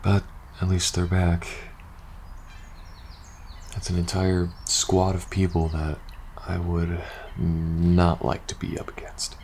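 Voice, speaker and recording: A man playing a weary, uneasy soldier confiding in his best friend in a post-apocalyptic ASMR audio roleplay, speaking haltingly and casually. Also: low-pitched, monotone, darkish tone, slight background noise. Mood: puzzled, grumbling. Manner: hesitant, halting, casual swearing, sighing.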